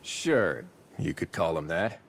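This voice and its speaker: An actor playing an easygoing feathered bird-folk warrior in a video game, familiar, wry and unhurried. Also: male voice, sits low, big pitch swings, exaggerated voice. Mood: friendly, wry. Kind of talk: video game cutscene acting. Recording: music behind the voice.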